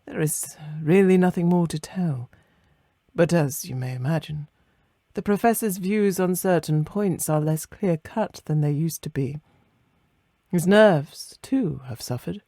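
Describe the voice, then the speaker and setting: A narrator reading a classic ghost story in a measured, literary and expressive way, letting phrases soften at the end. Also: medium pitch, no echo, studio quality.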